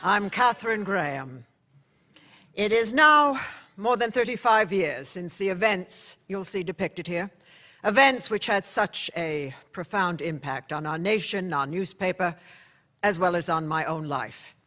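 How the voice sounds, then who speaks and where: An actress playing an older woman newspaper publisher, narrating her memories in a radio play with a measured, reflective delivery. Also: on the low side, lively intonation, sharp tone.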